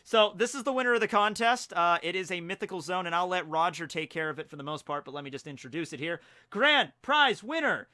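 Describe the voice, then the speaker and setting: Brief: twenties male streamer, bright and clean tone, chatty, talks through what he is doing. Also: high voice, lively intonation, a little fast. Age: 20s. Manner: chatty, self-narrating while fixing stream problems. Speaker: stream host. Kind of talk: casual live-stream chat. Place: home room.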